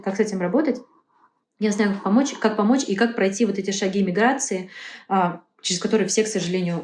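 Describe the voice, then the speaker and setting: A woman's voice: mid-range voice, warm tone. Female interview guest, earnest, stop-start delivery, mid-range voice, echoey room sound. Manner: earnest, halting, with many self-corrections. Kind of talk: casual interview talk. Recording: roomy echo.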